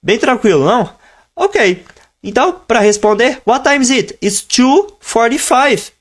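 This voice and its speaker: A man teaching a live online lesson, explaining at a relaxed pace and stretching out example phrases slowly so learners can follow. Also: very high voice. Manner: switches between teaching tone and slow, drawn-out modeled phrases. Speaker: English teacher.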